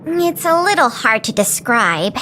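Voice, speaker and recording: A woman's voice: on the high side, big pitch swings, measured pace, pushed and bright, a little hiss or hum, full character voice. A woman voicing an earnest, dutiful young female officer in an anime-style video game, speaking articulately and in a businesslike, polite way. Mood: explanatory, earnest.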